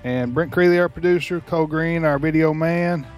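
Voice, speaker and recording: A man's voice: a little high, moderate pace, a little mellow, faint music behind. A man with an American accent hosting a casual radio panel chat, bantering and teasing. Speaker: radio show host.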